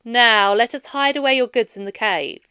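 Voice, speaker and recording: A woman's voice: fairly high pitch, normal speed, sharp tone, clear, resonant tone, no room sound, studio quality. A woman voicing a wise, assured and teasing goddess from a children's storybook, with emphatic, instructive phrasing. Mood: commanding.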